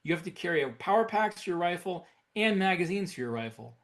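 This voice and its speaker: Higher-pitched man chatting on a podcast. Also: animated, quick pace, fairly bright tone. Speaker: podcast co-host. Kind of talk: casual conversation.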